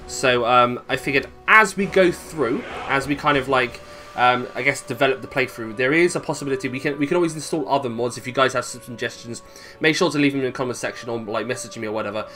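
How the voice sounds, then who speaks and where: A man's voice: mid-range voice, fairly bright tone. A man with a British accent giving casual, rambling playthrough commentary as a gaming video host, thinking aloud as he goes. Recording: game music behind voice. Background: video game music.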